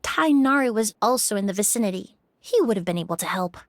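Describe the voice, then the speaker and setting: An actress playing a composed, caring young woman in a video game, calm, measured and polite. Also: medium pitch, dry recording, studio quality, lightly acted. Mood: reassuring. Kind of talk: video game character acting. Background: faint music.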